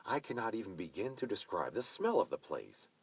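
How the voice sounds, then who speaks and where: A man voicing a bossy, effusive mature woman who hosts a wedding party and gives orders briskly. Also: lowish voice, sing-song, slightly rough, dry recording, voice pushed up, heavily acted. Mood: indignant. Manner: brisk, commanding, gushing.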